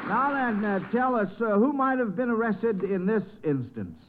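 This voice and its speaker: Vintage radio quiz host, tinny sound. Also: male voice, high voice, lively intonation, normal speed. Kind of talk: old-time radio quiz hosting. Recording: thin phone-like vintage radio recording.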